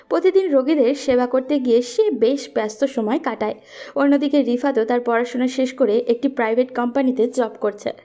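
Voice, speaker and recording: Female storyteller for scripted romance narration, higher-pitched with a dark, mellow tone.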